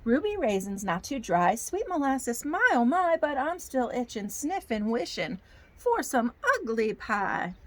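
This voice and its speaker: A woman voicing a hungry old male bear from a storybook, with a Southern accent and folksy, drawn-out sing-song phrasing. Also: sits high, big pitch swings, pitched above the performer's own voice. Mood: sing-song, yearning.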